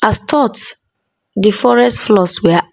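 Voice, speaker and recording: A woman storyteller narrating a scripted folktale in a measured, dramatic way with deliberate pauses. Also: medium pitch, gravelly, no echo.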